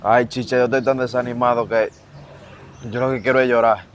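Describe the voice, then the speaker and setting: Mopey grown son, deep put-on voice, droopy and self-pitying. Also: female voice, fairly even intonation, a little fast. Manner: mopey, whiny. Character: sulking young adult son, moping.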